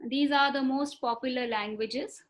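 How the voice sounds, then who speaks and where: A woman with a high voice speaks over a video call as a webinar speaker. Her delivery is measured and explanatory. She repeats words for emphasis and fills her pauses with little hesitations. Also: steady tone, a bit bright. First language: not Marathi. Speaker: webinar speaker on foreign languages. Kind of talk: explanatory lecture.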